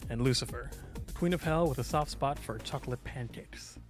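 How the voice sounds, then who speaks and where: A man's voice: mid-range voice, brisk, faint music behind. A man streaming a game live, chatting casually and reading out game text in a flustered, apologetic, self-deprecating way.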